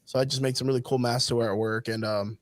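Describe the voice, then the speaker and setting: A man's voice: medium pitch, steady tone, quick pace, no room sound. Male podcast co-host, slightly sick, casual and profane, dry close recording. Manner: laid-back, swears freely. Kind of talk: casual chat.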